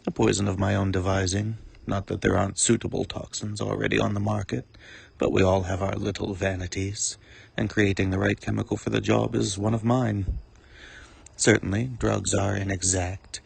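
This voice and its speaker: A man playing a cold, calculating supervillain in a dramatic monologue, speaking with formal, deliberate, self-important eloquence and menacing calm in a gravelly, husky voice. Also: fairly low pitch, no echo, full character voice.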